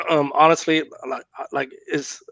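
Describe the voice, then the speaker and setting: Podcast co-host, man, raspy worn voice, teasing banter, dry close mic. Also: a little high, slow pace, no room sound, low-quality recording. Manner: teasing, joking, animated. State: raspy voice from a cough. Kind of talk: casual chat.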